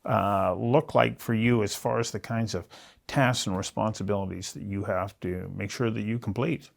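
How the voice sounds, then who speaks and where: A man's voice: lowish voice, steady tone, normal speed, gravelly. A man hosting an interview, asking questions in a casual, conversational way. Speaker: interviewer, host.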